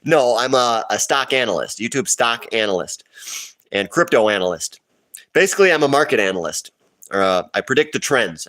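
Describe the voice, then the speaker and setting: A man chats on a livestream and reads out viewer questions one after another. His voice sits at an ordinary middle pitch and sounds a bit sniffly. He answers offhand and rambles, with plenty of restarts. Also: a bit bright. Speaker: livestream host answering chat. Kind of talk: casual livestream chat.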